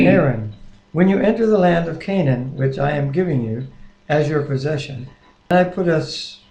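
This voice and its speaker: A man's voice: a little high, mellow. A man reading scripture aloud plainly, with small stumbles and self-corrections, recorded on a webcam microphone. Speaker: Bible reader. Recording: echoey room webcam audio.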